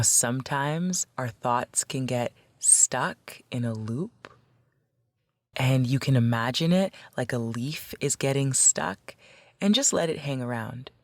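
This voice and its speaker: A woman leading a guided meditation as a facilitator, speaking in a gentle, measured, instructional way. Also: a bass voice, dry recording.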